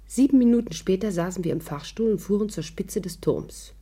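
A woman's voice: medium pitch, brisk, mellow, husky, lightly acted. An actress in a 1950s radio play, narrating her own adventure in a composed, scripted storytelling manner. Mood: wry.

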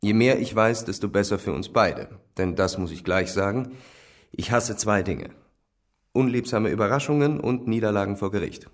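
A deep man's voice, standing back from the mic, acting as a self-assured defence lawyer in a radio drama. The delivery is precise, formal and deliberate. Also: natural voice. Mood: businesslike. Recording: off-mic, slightly distant room pickup.

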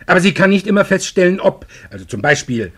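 A middle-aged police official from a German radio drama speaks in a fairly high, lively voice. He holds forth like a man giving a toast and explains things patiently, with a husky edge. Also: quick pace, full character voice. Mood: amused, explaining. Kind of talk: German radio play acting.

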